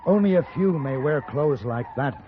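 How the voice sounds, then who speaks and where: An actor playing a tough, shrewd American gunfighter in a radio western, measured and authoritative, with a dry manner. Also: male voice, fairly high pitch, animated, natural voice. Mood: urgent, firm. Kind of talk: old-time radio western acting. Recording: muffled, noisy old radio recording.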